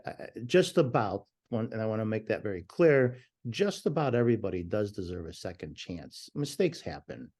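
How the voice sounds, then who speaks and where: A man with a loud voice, talking passionately as a guest on a remote call. His voice is low and warm, never bright. He stresses his points and sometimes starts his sentences over. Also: big pitch swings, normal speed, hardly any pauses. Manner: passionate, emphatic, some restarts. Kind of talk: conversational interview.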